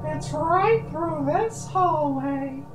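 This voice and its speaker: A creepy cartoon mouse, sweetly coaxing. The squeaky falsetto is very high and slow, in a roomy echo over faint music. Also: male voice, lively intonation, exaggerated voice. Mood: eerily coaxing. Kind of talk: cartoon horror parody dub.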